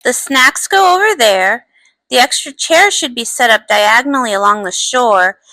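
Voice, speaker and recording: A woman's voice: on the high side, animated, moderate pace, sharp tone. A woman voicing an earnest, bubbly young pony princess and pop star in a cartoon comic dub. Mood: bright, bossy. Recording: faint music behind.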